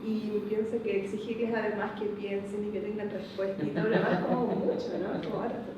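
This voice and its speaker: A woman's voice: medium pitch, flat delivery, a little fast. A Chilean woman from Santiago making an informal, tentative comment as a participant in a public discussion. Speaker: audience participant, former municipal worker. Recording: very echoey room, distant mic, noisy.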